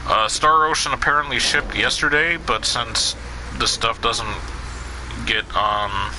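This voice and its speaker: A man's voice: medium pitch, fairly even intonation, measured pace, background noise. A man streaming a video game, chatting to viewers in a casual, rambling way and answering their questions with lots of fillers. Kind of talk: casual let's play commentary.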